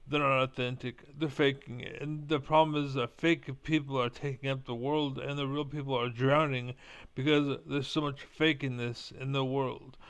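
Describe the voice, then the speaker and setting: Rambling man with a smooth voice. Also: medium pitch, fairly bright tone. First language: Spanish. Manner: rambling, blunt, swears casually. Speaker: vlogger. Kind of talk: rambling casual monologue.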